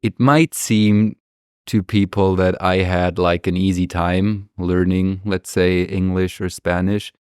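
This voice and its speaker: A man's voice: sits low, flat delivery, slow, darkish tone, smooth, no room sound, clean studio sound. A man from Germany, a native German speaker, talking casually and earnestly as a podcast guest.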